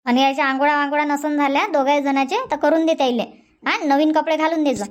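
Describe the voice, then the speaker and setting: High-voiced bickering village wife played by a woman. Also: flat delivery, fast talker, lightly acted. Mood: brisk, instructing. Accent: rural Vidarbha Marathi. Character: bickering but loving Indian village wife and mother-in-law. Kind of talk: cartoon comedy dub dialogue.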